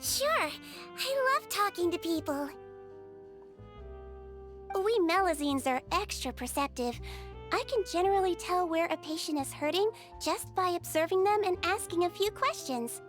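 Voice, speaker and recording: A woman voicing a small, cheerful, caring melusine nurse girl in a video game, with a sweet, polite and gently quirky manner. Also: very high voice. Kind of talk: animated video game character voice acting.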